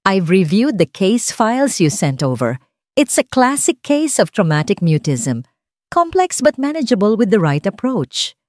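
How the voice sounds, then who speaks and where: A woman voicing a calm, emotionless male psychiatrist in his late forties in an audio drama story. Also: medium pitch, dry recording, studio quality. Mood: clinical, commanding. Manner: calm, emotionless, measured, repetitive.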